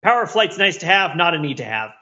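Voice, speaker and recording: A man's voice: on the high side, big pitch swings, fast talker, bright, forceful tone, highs cut off. A man co-hosting a podcast, riffing in rambling, comedic asides about film in a casual chat. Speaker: podcast co-host.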